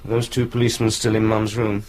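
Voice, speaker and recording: An actor with an English accent playing an uneasy, probing son-in-law in a naturalistic radio drama, careful and questioning. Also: male voice, on the low side, flat delivery, fairly quick, darkish tone, close to an everyday voice, low-quality recording. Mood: disapproving, sombre.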